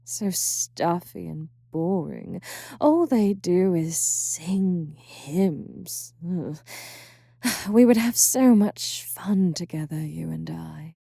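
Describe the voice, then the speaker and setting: A woman voicing a breathy, gravelly succubus. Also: fairly low pitch, lively intonation, dark tone, dry recording, clean studio sound, heavily acted. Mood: sultry.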